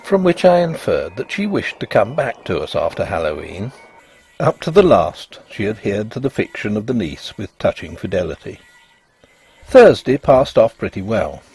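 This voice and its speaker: A man narrating a Victorian ghost story as scripted reading, with an expressive, literary delivery. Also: mid-range voice, husky, no echo.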